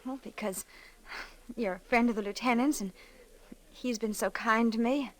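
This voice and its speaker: A woman's voice: on the high side, normal speed, natural voice, poor audio. An actress playing a sweet, nervous young small-town woman in old radio drama style, polite and hesitant. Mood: gently inviting. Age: young adult. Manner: breathlessly hesitant, courteous. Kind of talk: 1940s-50s radio drama acting.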